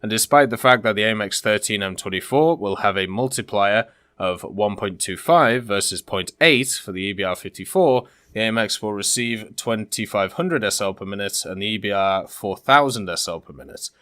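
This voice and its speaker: A man with a fairly low voice delivers casual gaming commentary. He shifts between reading text out and explaining it conversationally, with small asides along the way. The sound is close and dry, with very little room in it. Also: expressive, moderate pace, fairly bright tone, no echo. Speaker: gaming content creator and host.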